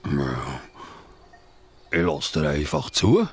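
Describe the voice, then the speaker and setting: Slow, deep old farm dog. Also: male voice, wildly swooping pitch, soft background music, full character voice. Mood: placid. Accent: Swiss German. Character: old male farm dog, placid, kindly, wise listener. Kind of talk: children's radio play acting.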